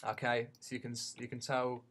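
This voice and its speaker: A man giving an unscripted tutorial as a teacher, haltingly thinking aloud as he goes. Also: medium pitch, monotone, fairly bright tone.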